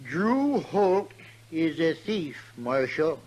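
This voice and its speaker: An actor playing a pompous, excitable old former cavalry general in a western radio drama, speaking formally with a slight stammer. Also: male voice, fairly high pitch, extremely slow, full character voice, rough recording. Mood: urgent, insistent. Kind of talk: 1950s western radio acting.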